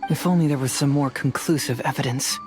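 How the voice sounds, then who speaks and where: A sharp young detective from a video game, voiced by a man, calmly thinking through clues out loud. His pitch sits in the middle range, and faint music plays behind him. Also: close to an everyday voice. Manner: calm, deliberate, reasoning aloud.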